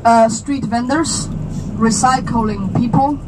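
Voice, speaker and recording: A woman's voice: on the high side, takes it slowly. A woman in her thirties from China, with a Chinese accent, explaining things to visitors on a walking tour in a chatty way, asking questions and joking. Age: 30s. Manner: chatty and explanatory, asks listeners questions, jokes. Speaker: tour guide. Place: outdoors on a city street. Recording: traffic noise from the street.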